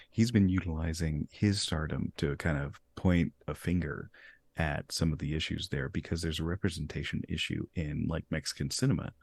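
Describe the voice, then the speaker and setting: A male host with a very low, deep voice talks through a casual conversation. He stays relaxed and slips in easy laughs between his points. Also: gravelly.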